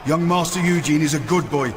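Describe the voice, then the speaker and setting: A man's voice: a little high, a little fast, close to an everyday voice. A man with a British accent playing a haughty, protective wrestling manager in a video game cutscene, pompous and scolding in manner. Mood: indignant. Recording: loud background noise.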